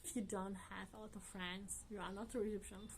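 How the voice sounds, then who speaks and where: A woman talks casually to her viewers in a mid-pitched voice. Her English is earnest and chatty, with non-native phrasing and soft laughs here and there. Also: little pitch movement, brisk, slight background noise. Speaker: vlogger. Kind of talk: casual chat to camera.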